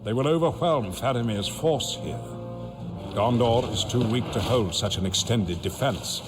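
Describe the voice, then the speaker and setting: An actor playing a grave, commanding old wizard who narrates a fantasy war in a solemn, deliberate, portentous way. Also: male voice, medium pitch, natural voice. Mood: grave, urgent. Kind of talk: dramatic scripted game narration. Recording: music behind the voice.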